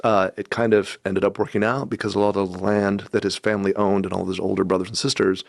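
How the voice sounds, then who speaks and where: Guest voice: low, gravelly man, easygoing storyteller, close and dry. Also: fast, dry recording. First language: Cantonese. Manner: relaxed storytelling with many fillers and asides. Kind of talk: casual conversational interview.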